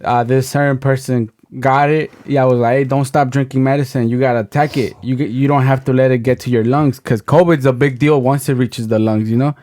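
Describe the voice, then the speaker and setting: A man's voice: mid-range voice, fairly even intonation, a little fast, few pauses, a little mellow, dry recording. Man, podcast host, stammers and restarts, dry close sound. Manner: stammers and restarts, slips Spanish words into English. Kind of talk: casual storytelling chat.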